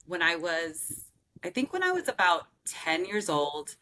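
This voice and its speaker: Bright-toned woman telling her story. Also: lowish voice, measured pace. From Southern California. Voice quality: loud strong voice. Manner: animated, laughs often, lots of "um" and "like". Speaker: singer and guest. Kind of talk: casual interview storytelling.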